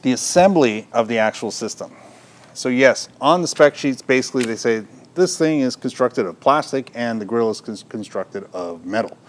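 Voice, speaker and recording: A middle-aged man with a mid-pitched voice talks through a product demonstration. He explains things informally and off the cuff, like a shop presenter speaking to camera. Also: animated, normal speed. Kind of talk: unscripted product demonstration talk. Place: indoor audio gear shop, at a counter.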